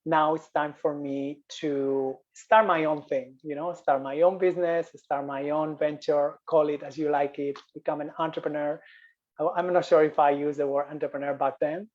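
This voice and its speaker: A man's voice: a little high, fairly even intonation, normal speed, darkish tone, muffled recording. A man from Spain with a Spanish accent, a yoga business coach telling his story as a guest over a video call, often repeating and restarting phrases.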